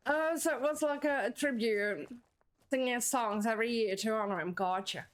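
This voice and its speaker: A woman's voice: on the high side, normal speed, clear, resonant tone. A young Dutch woman with a Dutch accent, chatting with viewers while streaming a game. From Netherlands. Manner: chatty, answers viewers, bursts of laughter. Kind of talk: live-streamed gameplay chat.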